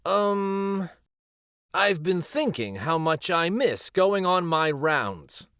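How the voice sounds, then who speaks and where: A gentle old grandfather who once told stories in the street, acted out by a man reading a picture book. He muses nostalgically and slowly, with small hesitations, and his slightly raised voice rises and falls in a lively way. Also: sits high, clear, resonant tone, no echo, clean studio sound, heavily acted. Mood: musing. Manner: hesitant, musing, with little ums.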